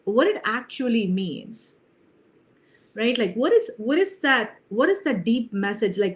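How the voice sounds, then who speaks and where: An earnest middle-aged woman coach from India talks on a video call, her voice a little higher than most. She tucks "you know" and "right?" into her reflective answers. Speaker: leadership coach, guest. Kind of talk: reflective interview talk.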